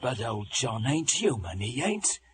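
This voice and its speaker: An actor playing a wily old pirate ship's cook with a drawling, rustic West Country brogue, in a theatrical film style. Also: male voice, mid-range voice, big pitch swings, unhurried. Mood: cajoling. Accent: West Country English pirate brogue. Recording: noisy old film soundtrack.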